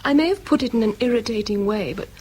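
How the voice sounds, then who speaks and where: An actress playing a loving, frank, long-suffering girlfriend in an intimate radio drama, often hushed. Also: fairly high pitch, expressive, quick pace, husky. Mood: tender, pleading.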